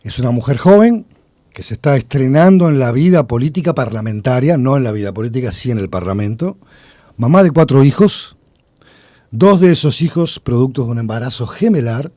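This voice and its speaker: A man's voice: medium pitch, expressive, fairly quick, mellow, no echo. A man with a Uruguayan accent, a radio journalist chatting in a playful, conversational way during a studio interview. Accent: Uruguayan Spanish. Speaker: radio journalist, weekly panelist.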